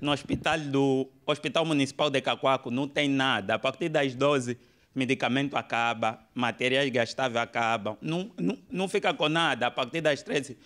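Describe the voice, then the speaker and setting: A man's voice: mid-range voice, moderate pace, a bit bright. A man in his thirties with an Angolan Portuguese accent doing colloquial, sweary stand-up on a club stage. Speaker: stand-up comedian. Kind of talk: stand-up comedy. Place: comedy club stage with audience. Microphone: handheld microphone.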